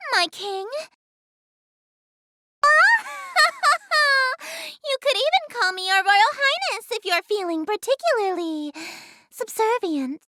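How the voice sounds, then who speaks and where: A woman's voice: extremely high pitch, pushed and bright, no echo, clean studio sound, exaggerated voice. A woman voicing a haughty, easily flustered eldritch goddess in an anime-style game, speaking in a theatrical, formal, imperious way. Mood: smug, teasing. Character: haughty, easily flustered regal eldritch goddess, cute young-sounding female. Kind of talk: anime-style visual novel game voice acting.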